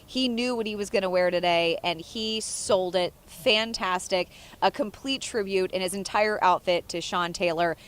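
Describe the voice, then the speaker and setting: A woman's voice: mid-range voice, normal speed, few pauses. A young woman co-hosting a post-game sports show, upbeat and laughing, steering the talk back on track. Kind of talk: casual post-game chat.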